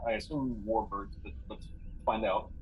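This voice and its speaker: A man's voice: on the low side, steady tone, moderate pace, soft background music. A man thinking aloud in casual chat as a player in a tabletop role-playing game, a little tentative. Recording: dull lo-fi audio, clear background noise.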